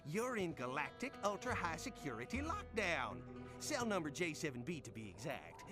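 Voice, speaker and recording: A man's voice: on the high side, sing-song, moderate pace. A man playing a corrupt prison warden in a video game, mock-friendly and sarcastic. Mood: mocking menace. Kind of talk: video game villain acting. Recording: game music behind the voice.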